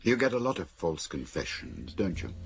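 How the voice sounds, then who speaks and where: A man acting a sober, direct confidant who probes a murder case in a radio drama, with a gravelly voice. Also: deep voice, very animated, normal speed. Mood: sober, probing. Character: sober, probing male listener discussing a murder case.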